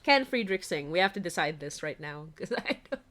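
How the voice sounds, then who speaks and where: A woman hosting a casual livestream, chatty and animated, often giggling as she talks. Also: fairly low pitch, fairly quick. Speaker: podcast host and writer.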